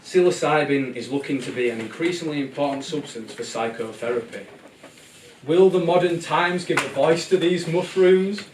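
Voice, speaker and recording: A man playing a whimsical, excitable storyteller, narrating a puppet show theatrically. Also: fairly high pitch, normal speed, a little hiss or hum, close to an everyday voice. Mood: earnest. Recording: distant, echoey live show bootleg with music.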